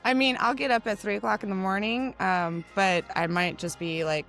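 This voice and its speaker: A middle-aged woman co-hosting a podcast in casual chat, loud and projecting, a bit hoarse from cheering, acting out quotes as she tells stories. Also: medium pitch, moderate pace, faint music behind. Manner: animated storyteller, acts out quotes. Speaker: podcast co-host.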